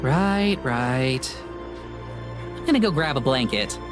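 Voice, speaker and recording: A woman voicing an excitable, blunt young woman ghost hunter who gets exasperated and suspicious, in a visual novel. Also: very deep voice, slow pace, music in the background, pitched below the performer's own voice, full character voice. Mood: uneasy, deflated. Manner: exclamatory, blunt, casual.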